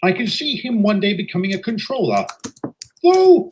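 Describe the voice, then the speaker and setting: A portly old railway director, played by a man, courteous and jovial, his voice swinging up and down with lively warmth. He speaks with formal, old-fashioned politeness in a homemade audio drama. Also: high-pitched, moderate pace, slight background noise, voice pushed up. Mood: genial. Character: stout, genial older male railway director. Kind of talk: fan audio drama voice acting.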